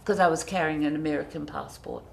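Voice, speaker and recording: A middle-aged woman, a design guest on a TV studio interview, speaking graciously with effusive, drawn-out emphasis. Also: deep voice, fairly even intonation, gravelly, darkish tone. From New Zealand. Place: TV studio set.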